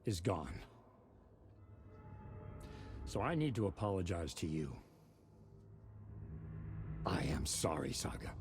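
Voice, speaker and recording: An actor playing a gruff, foul-mouthed old grandfather full of regret and defiance in a video game, speaking bluntly and with weight. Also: deep voice, big pitch swings, slow, pauses often, background noise, full character voice. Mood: remorseful. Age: older. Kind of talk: video game acting, dramatic.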